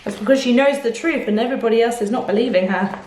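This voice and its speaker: High-voiced woman storyteller, echoey room. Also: fast talker, noisy background. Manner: expressive, questioning, explanatory. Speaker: children's storyteller. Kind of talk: picture book read-aloud with asides to children.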